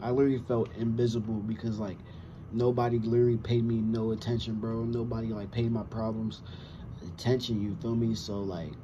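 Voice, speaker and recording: A man's voice: fairly low pitch, level tone, a little fast, background noise. A man, chatting casually to camera in a rambling, slangy way with frequent swearing. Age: 20s. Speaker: reaction video host. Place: small room with wooden cabinets indoors. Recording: dull lo-fi sound, music behind.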